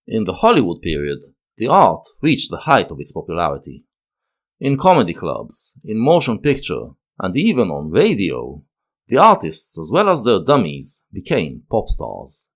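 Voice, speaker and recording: A man's voice: low voice, wildly swooping pitch, normal speed. A man narrating a scripted analytical video essay.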